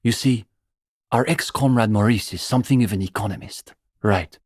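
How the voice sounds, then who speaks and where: A man's voice: lowish voice, normal speed, slightly rough, no room sound, studio quality. An actor playing an earnest young male student communist in a video game, intellectual, opinionated and dryly pedantic. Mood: pedantic, aggrieved. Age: young adult. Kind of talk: video game dialogue voice acting.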